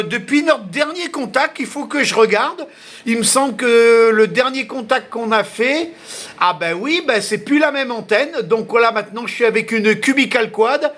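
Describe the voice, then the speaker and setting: A man's voice: high-pitched, pushed and bright. A man chatting casually as an amateur radio operator, talkative and often repeating himself. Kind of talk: casual ham radio contact, unscripted.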